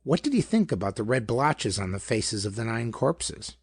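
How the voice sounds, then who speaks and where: A fussy, pedantic gentleman sleuth played by a male narrator from a pulp audiobook. He speaks in formal, precise phrases with swooping pitch and a creaky edge, eager and pompous. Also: sing-song, normal speed, no room sound, clean studio sound. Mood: inquiring.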